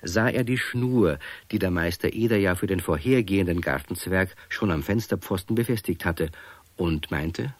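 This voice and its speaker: A man's voice: low-pitched, normal speed, dry recording. A man as storyteller, reading scripted narration for a children's radio play. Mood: narrating.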